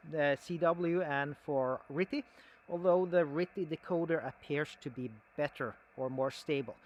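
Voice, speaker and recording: A man giving a casual, unscripted equipment demonstration as a hobbyist, explaining things in a halting, conversational way. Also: on the high side, fairly bright tone.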